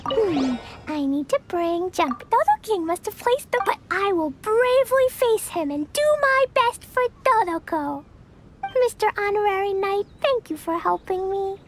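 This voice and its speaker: A woman's voice: very high voice, animated, a little slow, slight background noise. A woman voicing a cheerful, earnest little girl with a childlike manner in a video game. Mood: playful, earnest. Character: cheerful little girl fond of blowing things up. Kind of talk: video game character acting.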